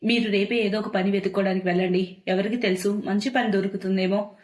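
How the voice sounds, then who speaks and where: A woman's voice: mid-range voice, steady tone, fast, a little mellow, echoey room, close to an everyday voice. A woman voicing an earnest, devoted young village wife in distress for a moral cartoon story, with a pleading manner. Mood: concerned.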